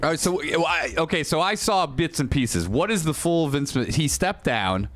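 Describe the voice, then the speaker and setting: A man's voice: fairly high pitch, expressive, moderate pace, a bit bright, slight background noise. A man co-hosting a casual comedy podcast with teasing banter. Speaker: podcast co-host.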